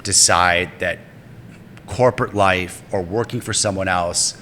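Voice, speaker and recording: A man's voice: sits low, measured pace, sharp tone, long reverb tail, slight background noise. A man hosting a podcast interview, asking long, rambling questions full of fillers and restarts. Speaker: podcast host.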